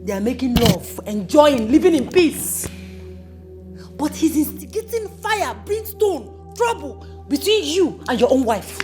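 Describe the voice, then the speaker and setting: A woman playing a sharp-tongued, defiant wife who scolds and mocks. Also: high-pitched, very animated, a little slow, over music. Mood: scolding. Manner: mocking, scolding.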